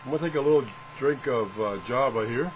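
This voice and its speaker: A man with a mid-pitched voice gives a casual, hands-on gadget demonstration in his workshop. He rambles in a folksy, self-deprecating way. Steady fan and room noise sits clearly behind him. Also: a little fast. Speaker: video host reviewing gadgets. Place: home workshop, indoors.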